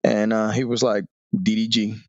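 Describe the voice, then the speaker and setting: A man in his forties with a Dominican Spanish accent, a Spanish native speaker, talking as a Navy veteran guest in a podcast interview, often halting and restarting his sentences. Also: lowish voice, level tone, no echo. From Dominican Republic. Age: 40s. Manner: halting, with many restarts and fillers. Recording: dull lo-fi dry sound.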